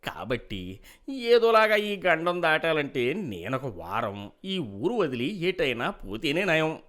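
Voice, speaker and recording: A man's voice: a little high, big pitch swings, takes it slowly, a bit bright, exaggerated voice. A man playing a hypocritical older village president in a dramatized moral story, with a persuasive, self-justifying way of talking. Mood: scheming grumble.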